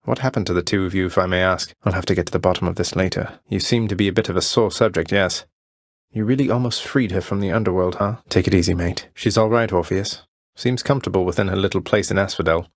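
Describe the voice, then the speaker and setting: A man playing a young underworld prince in a video game, friendly, courteous and gently wry. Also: deep voice, fast, no room sound, clean studio sound. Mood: concerned, tactful.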